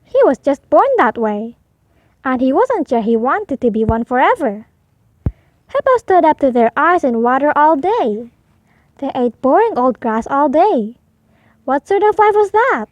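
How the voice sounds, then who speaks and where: Female children's storybook narrator: high pitch, slow and expressive delivery, slightly dull sound. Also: no room sound. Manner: expressive, deliberate. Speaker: storybook reader. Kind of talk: read-aloud narration for young children.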